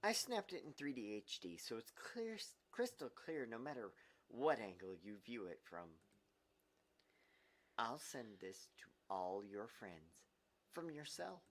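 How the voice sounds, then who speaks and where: A woman as a low-voiced, smug young male blackmailer. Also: sing-song, a little slow, heavily acted. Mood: smug, taunting. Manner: sly, teasing.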